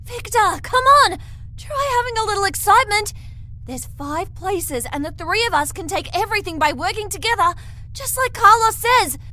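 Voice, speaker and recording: Casting: excitable young female brawler played by a woman, brash starstruck fangirl, very high, bright voice, sci-fi audio drama. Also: normal speed, full character voice. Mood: pumped up. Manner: gushing, brash, slangy.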